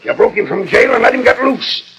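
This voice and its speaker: An actor playing a hot-tempered western sheriff who barks in a folksy drawl, in 1940s radio style. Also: male voice, extremely high pitch, sing-song, fairly quick, creaky, fairly bright tone, shouting, a faint crowd, exaggerated voice, poor audio. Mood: furious, scolding. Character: blustering, hot-tempered old-west sheriff and lynch mob men. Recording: old radio transcription disc, background commotion.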